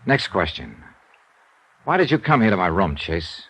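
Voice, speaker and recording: A man's voice: sits low, big pitch swings, normal speed, a bit bright, slightly rough, exaggerated voice. An American man playing a weary, dogged insurance investigator in 1950s radio detective style, wry, clipped and world-weary. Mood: cool, probing. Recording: old radio recording with music behind.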